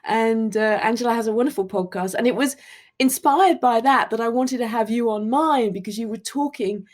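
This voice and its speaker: A woman's voice: fairly high pitch, moderate pace. A woman hosting a podcast, reading an upbeat scripted intro and then chatting with her guest. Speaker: podcast host.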